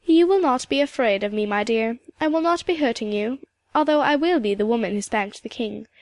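Lady doing a proud old highland scots granny, regal and earnest, rich voice pitched high, storybook style. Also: expressive, fairly quick, darkish tone, no room sound, close to an everyday voice. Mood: firm, confiding. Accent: Scottish Highland. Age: older. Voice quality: rich, powerful. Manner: stately, emphatic, lilting turns of phrase.

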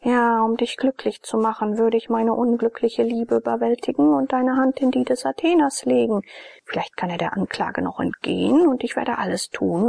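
A woman reading a scheming, imploring Egyptian man in a storybook, in a breathy half-whisper. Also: on the high side, darkish tone, no echo, exaggerated voice. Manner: pleading, insistent.

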